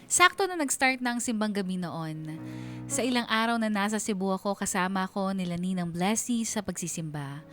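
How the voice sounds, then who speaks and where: A woman's voice: medium pitch, expressive, moderate pace, sharp tone, soft background music. An actress playing a smitten young woman narrating her own love story for a radio drama, confiding and giddy. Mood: wistful, hopeful.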